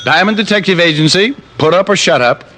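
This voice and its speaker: An American actor playing a flip, cash-strapped private detective with deadpan wisecracks in an old-time radio drama. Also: male voice, high-pitched, brisk, very noisy. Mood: flip, wry.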